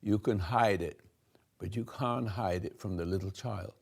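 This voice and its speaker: An older man slowly giving a rambling spiritual talk to a room, halting and restarting, swinging between soft and loud. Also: lowish voice, vocal fry, husky. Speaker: spiritual teacher hosting a talk.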